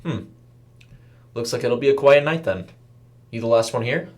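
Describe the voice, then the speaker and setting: Male narrator as young male night-shift worker, considerate, conversational, slightly halting, audio story. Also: mid-range voice, expressive, voice pushed up, natural voice. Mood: casual, friendly. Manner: casual, hesitant, conversational.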